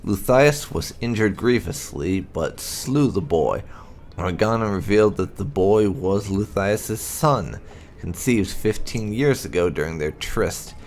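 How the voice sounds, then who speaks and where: A man with a gravelly voice reading fantasy game lore aloud in a measured, solemn storytelling manner. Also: sits low, expressive, a little hiss or hum. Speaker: gaming channel host.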